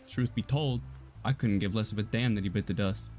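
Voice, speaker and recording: A man's voice: on the low side, normal speed, a little mellow, close to an everyday voice. A man plays a hot-headed working-class plumber questioned as a suspect in an audio drama. He is belligerent and defensive, swearing through a surly delivery over faint background music.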